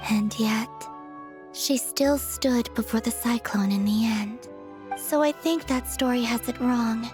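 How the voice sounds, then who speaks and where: A woman voicing a serene, wistful young woman with a gentle, dreamy manner in an anime video game. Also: a little high, takes it slowly. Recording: clear music underneath. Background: background music.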